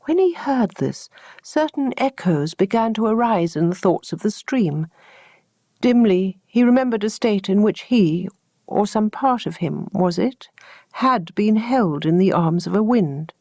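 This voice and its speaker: A woman narrating a traditional teaching tale as a storyteller, with measured, deliberate phrasing. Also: mid-range voice, lively intonation, warm tone, no echo.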